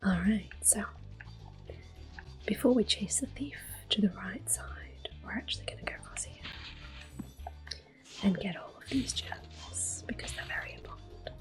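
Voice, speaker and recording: A woman whispering soft-spoken commentary while she plays a video game for an ASMR audience, close to the microphone. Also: medium pitch, huge pitch swings, a little slow, creaky, pauses often, faint music behind, poor audio. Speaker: ASMR gaming host.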